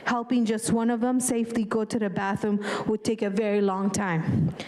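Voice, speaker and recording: Earnest woman, mellow voice. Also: medium pitch, flat delivery. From Lebanon. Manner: earnest, measured, slight grammar slips. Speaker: public commenter, nursing assistant. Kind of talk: prepared public comment.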